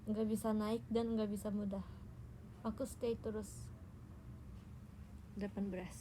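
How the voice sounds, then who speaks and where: A woman's voice: mid-range voice, little pitch movement, measured pace, a little hiss or hum. A young Indonesian woman chatting casually and teasingly with her viewers on a phone livestream. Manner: playful, teasing, tells tall tales. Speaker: idol livestreamer.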